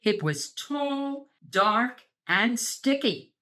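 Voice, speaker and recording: A woman reading a children's picture book aloud, expressive and sing-song as a channel host. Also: a little high, extremely slow. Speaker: storybook reader, channel host.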